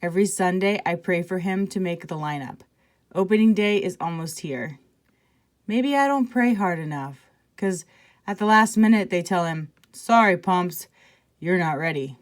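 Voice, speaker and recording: A woman's voice: lowish voice, normal speed. A woman teacher reading a children's picture book aloud expressively, with casual asides to her listeners.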